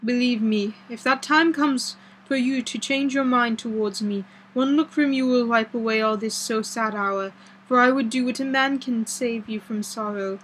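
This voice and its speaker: A woman's voice: on the high side, normal speed, fairly bright tone, a little hiss or hum, close to an everyday voice, poor audio. A woman reading the part of a grave, earnest old foreign doctor in an audiobook, with solemn, formal, slightly broken phrasing. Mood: pleading, sorrowful.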